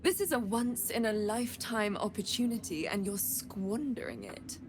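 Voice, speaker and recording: A woman playing an eerie, imperious blood trader with morbid curiosity in a video game, speaking in a measured, silky, haughty way. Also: a little high, noisy background, natural voice. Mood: haughty, irritated. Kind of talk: dramatic video game acting.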